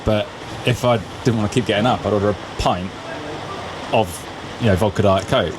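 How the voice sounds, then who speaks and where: A man in his late thirties with a lower, quick voice, mostly chiming in and agreeing before telling his own stories. Noise is clearly audible behind him. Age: 30s. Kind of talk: casual chat.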